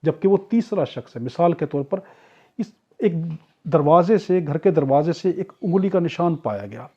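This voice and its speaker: A man's voice: a little high, lively intonation, normal speed, mellow, slightly rough. A man with a Pakistani accent lecturing as an exam tutor, repeating key points and posing questions that he then answers. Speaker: teacher, law exam tutor. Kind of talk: explanatory lecture for exam preparation. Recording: dull, lo-fi audio.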